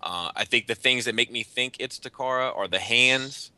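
A man's voice: medium pitch, normal speed, sharp tone, smooth, dry recording. A man hosting a casual panel podcast, chatting about hobby news with some swearing. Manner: profane. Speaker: podcast host. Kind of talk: casual chat, panel discussion of toy news.

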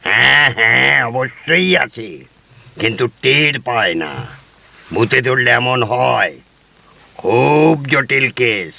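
An actor playing a pompous, self-assured village herbal doctor in an audio drama. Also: male voice, medium pitch, lively intonation, slow pace, gravelly, pushed and bright, no room sound, full character voice. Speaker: Ayurvedic healer (kaviraj).

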